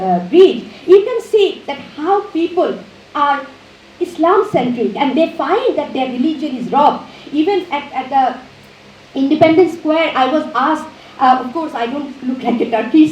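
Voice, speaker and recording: A woman's voice: high-pitched, lively intonation, a little slow, a little mellow. A woman from Bangladesh, a native Bengali speaker with a Bangladeshi accent, gives an academic lecture as a professor, often repeating and rephrasing her phrases. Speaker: university professor giving a lecture. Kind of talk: academic lecture, partly extemporized. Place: university auditorium. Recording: muffled, echoey hall with background noise.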